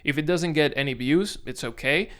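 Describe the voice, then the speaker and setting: A man's voice: on the high side, normal speed, a bit bright, clean tone. A young man from the Dominican Republic with a Dominican Spanish accent, talking casually and self-consciously to the camera as a content creator, with frequent restarts. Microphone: camera on tripod.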